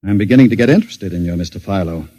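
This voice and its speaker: An actor playing an authoritative, guarded male boss with a measured, commanding manner, in a 1950s radio drama. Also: sits low, expressive, dark tone. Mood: guarded, persuasive.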